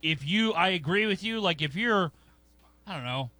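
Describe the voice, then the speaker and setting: A man's voice: high voice, animated, bright, forceful tone, dry recording. A man co-hosting a radio talk show, teasing and laughing through casual banter. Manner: laughing, teasing, quick retorts. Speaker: co-host.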